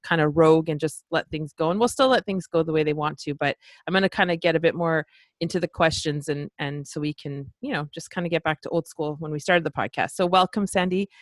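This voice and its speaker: A woman hosting a podcast, chatty and excitable, casually swearing as she talks. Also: fairly low pitch, dry recording. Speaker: podcast host. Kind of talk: casual interview chat.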